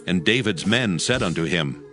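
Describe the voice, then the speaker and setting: A man's voice: sits low, expressive, normal speed, bright, forceful tone, faint music behind. A man narrating scripture from a script in a solemn style.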